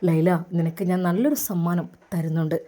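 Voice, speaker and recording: A tender young doctor speaks to his bride in a lowered, soothing voice, gentle and reassuring. A woman puts him on for a spoken story. His tone stays soft and unhurried. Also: natural voice. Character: gentle young male doctor, loving husband.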